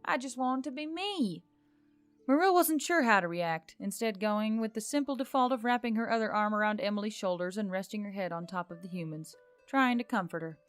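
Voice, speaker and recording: A woman's voice: medium pitch, lively intonation, a little fast, smooth, dry recording. A woman reading scripted narration of a fantasy story. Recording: background music under the voice. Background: music.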